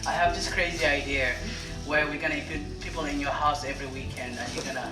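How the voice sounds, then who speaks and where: A man organizing a community lunch, introducing speakers in an easygoing way with many filler pauses. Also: fairly high pitch, little pitch movement, recorded from a distance. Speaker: event organizer. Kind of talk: hosting remarks to an audience. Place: large room at a community lunch. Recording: echoey room, voice from afar, loud music.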